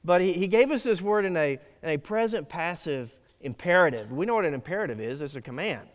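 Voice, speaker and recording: A man's voice: on the high side, animated, a little fast. A man preaching a sermon as pastor, earnest and emphatic, often restarting his phrases. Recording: long hall reverb.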